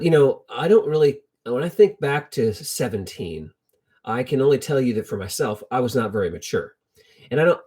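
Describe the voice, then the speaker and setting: A middle-aged American man tells Bible stories informally with personal anecdotes, in a halting, reflective way, repeating phrases for emphasis. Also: lowish voice, warm tone, breathy edge. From United States. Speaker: lay Bible storyteller.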